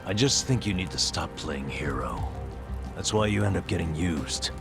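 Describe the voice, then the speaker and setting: An actor playing a bitter, world-weary, guilt-ridden man who is gruffly protective, in a blunt, cynical video game performance. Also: sits low, expressive, moderate pace, music in the background. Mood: grim pleading. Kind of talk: dramatic video game voice acting.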